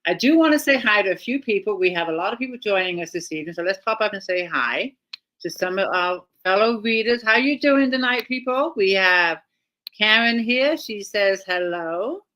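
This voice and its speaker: Bright, upbeat woman hosting live chat. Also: medium pitch, moderate pace, rough recording. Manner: upbeat, chatty, greets viewers. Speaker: host. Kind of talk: live video chat interview. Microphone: video call.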